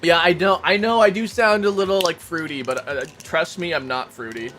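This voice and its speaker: A man's voice: a little high, normal speed, sharp tone, a little hiss or hum. A man streaming a casual chat, rambling to his viewers while making coffee. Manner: chatty, rambling, talks to chat.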